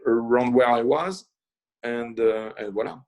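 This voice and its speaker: Middle-aged man, French accent, chef, halting with fillers, mid-pitched voice. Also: darkish tone. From France. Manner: halting, many fillers and restarts. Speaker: chef and guest. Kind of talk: casual interview storytelling.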